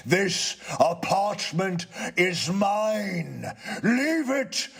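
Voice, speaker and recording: A man voicing a gloomy, pompous old-world ghost in a storybook, declaiming in a slow, solemn, drawn-out way. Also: high voice, sing-song, vocal fry, a bit bright, slightly rough, pitched above the performer's own voice, full character voice. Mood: mournful, grave. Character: gloomy, long-suffering male ghost, pompous yet easily persuaded. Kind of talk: theatrical storybook character reading.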